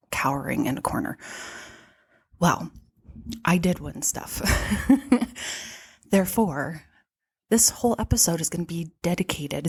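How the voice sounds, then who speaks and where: A woman with a low, husky voice talks through a stuffed-up nose, chatty and quick to laugh as she hosts her podcast. She sits behind a shop counter, and her voice sounds close and dry. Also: darkish tone, no room sound. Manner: chatty, laughs often between remarks. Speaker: podcast host and vintage shop owner. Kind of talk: casual podcast monologue.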